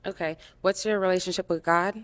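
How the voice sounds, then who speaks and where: A woman in her twenties with a low, bright voice explains herself on a dating show. She is earnest and hesitant, pausing often to find her words. The sound is close and dry. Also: steady tone, dry recording. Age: 20s. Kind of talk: candid unscripted conversation.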